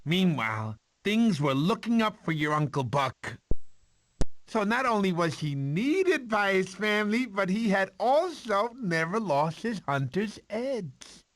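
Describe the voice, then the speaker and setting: A man's voice: sits high, big pitch swings, unhurried, a bit bright, slight background noise, full character voice. A man voicing a goofy, boastful, doting cartoon sloth uncle who tells bedtime stories theatrically and stumbles over his words. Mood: dramatic storytelling. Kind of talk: animated film voice acting, bedtime storytelling.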